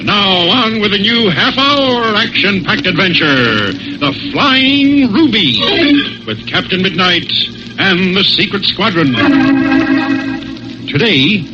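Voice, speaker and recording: A man's voice: high voice, lively intonation, slow pace, over loud music. A man announcing and narrating a 1940s radio adventure serial in a dramatic scripted style. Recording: dull old radio broadcast recording.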